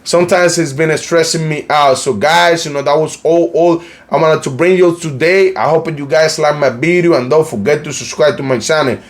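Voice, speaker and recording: Colombian Spanish-accented man, fairly high voice. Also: moderate pace, few pauses. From Cartagena, Colombia. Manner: rambling, heavy use of "you know, like", frequent restarts. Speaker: YouTube news channel host. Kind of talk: casual commentary vlog.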